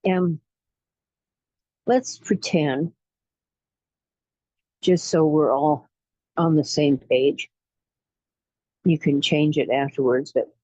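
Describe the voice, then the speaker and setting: A woman leading a guided relaxation meditation in a soothing, unhurried way, pausing between short phrases. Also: low-pitched, dark tone, no room sound, dull audio. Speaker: guided imagery facilitator.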